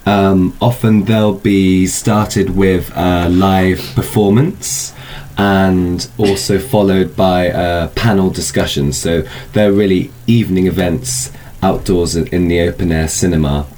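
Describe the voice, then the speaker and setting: Low-pitched man, noisy background. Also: fairly even intonation, slow, darkish tone. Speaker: film festival organiser, interview guest. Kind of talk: informal interview, explaining a programme.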